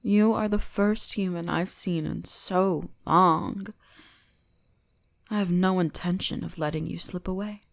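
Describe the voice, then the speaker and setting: Female performer as centuries-old fox-spirit shrine guardian, ASMR roleplay. Commanding, deliberate, strong vocal fry, slow delivery. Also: fairly low pitch, fairly even intonation. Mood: fascinated, possessive. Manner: slow, deliberate, imperious, teasingly gentle. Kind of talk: scripted ASMR roleplay addressed to the listener.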